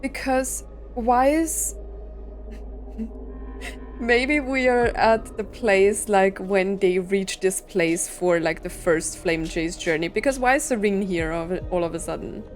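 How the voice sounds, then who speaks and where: A woman's voice: mid-range voice, slow, faint music behind. A woman giving casual reaction commentary as a gaming streamer, thinking aloud and asking questions.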